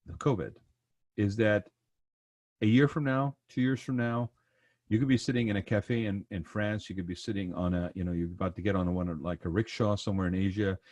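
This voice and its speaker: Podcast host from the Gulf region, male, rambling delivery with a fairly low voice and a dry, close sound. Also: a little mellow, no echo. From Persian Gulf region. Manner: rambling, repeats words, tags thoughts with "right?" and "you know". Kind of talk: casual conversational interview.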